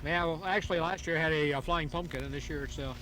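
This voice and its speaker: A man's voice: a little high, steady tone, fast talker, fairly bright tone. A man being interviewed as a hobby builder, showing his work in casual chat. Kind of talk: casual show-and-tell chat. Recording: noisy outdoor old radio tape.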